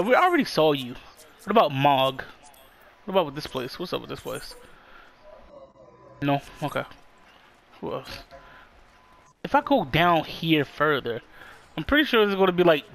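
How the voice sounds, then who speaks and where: A young man, talking loosely over his game and swearing often. His voice sits high and leaps around, with big spikes whenever something surprises him. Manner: loud, excitable, swears often, laughs a lot. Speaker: gamer streaming his play. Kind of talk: casual gaming chat with a friend.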